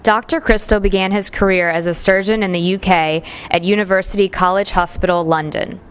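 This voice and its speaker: A woman at a conference reading out a formal speaker introduction. Her voice is smooth and resonant, and she reads in a steady, even way. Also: medium pitch, fairly quick. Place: conference room.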